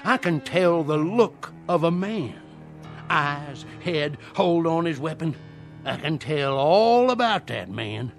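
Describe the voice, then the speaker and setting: High-voiced, fervent old Southern conjurer. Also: male voice, lively intonation, slow pace, gravelly, soft background music, exaggerated voice. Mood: grave, foreboding. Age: older. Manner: folksy, theatrical, rustic dialect. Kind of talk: dramatic radio play acting.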